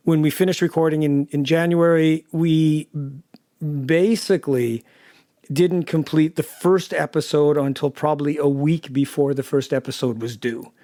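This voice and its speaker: A man speaking in an interview as an audio producer, explaining things in a halting way with repeated words. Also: fairly high pitch, steady tone, a little mellow, no room sound, studio quality. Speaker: audio drama producer and sound designer. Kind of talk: interview recollection.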